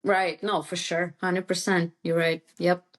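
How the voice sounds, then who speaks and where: Slow, low-voiced woman, native Russian speaker. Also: level tone. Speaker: real estate agent, interview guest. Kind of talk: casual interview chat.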